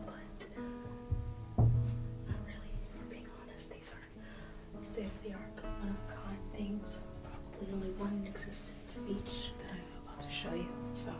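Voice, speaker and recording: A woman's voice: medium pitch, low-quality recording. A young woman whispering an ASMR roleplay as a museum guide, playful and teasing like a salesperson.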